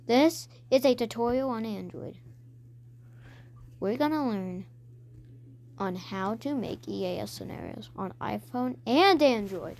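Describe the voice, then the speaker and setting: A woman hosting a casual tutorial, talking through the steps in an informal, improvised way. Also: a little high. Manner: informal, improvised step-by-step instruction. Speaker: tutorial host. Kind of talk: casual screen tutorial narration. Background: background music.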